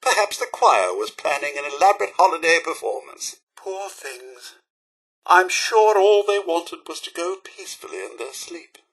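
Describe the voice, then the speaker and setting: Prim British robot butler, high animated voice. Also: male voice, normal speed, slightly rough, full character voice, low-quality recording. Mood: anxious, sorrowful. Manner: prim, polite, theatrical. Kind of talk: video game companion voice lines.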